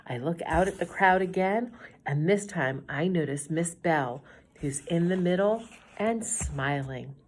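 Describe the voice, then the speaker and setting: A middle-aged woman teacher reading a children's chapter book aloud in a gentle, storytelling manner. Her voice sits a little low, and she drops to a whisper on quiet lines. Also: a little slow, slight background noise.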